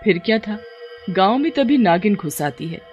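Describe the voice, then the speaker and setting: A woman's voice: mid-range voice, animated, moderate pace, darkish tone, dull audio, faint music behind. A woman reading a scripted story as its narrator.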